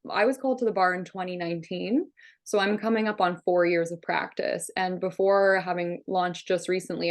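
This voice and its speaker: A woman in her thirties, raised in Ontario with Hungarian as her first language, talks through her work in a relaxed interview. Her voice sits fairly low, and she sounds sure of herself while she strings clauses together with plenty of fillers. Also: vocal fry. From Ontario, Canada. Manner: confident, talks in run-on clauses with frequent fillers. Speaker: criminal defence lawyer, guest. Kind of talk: casual interview answers.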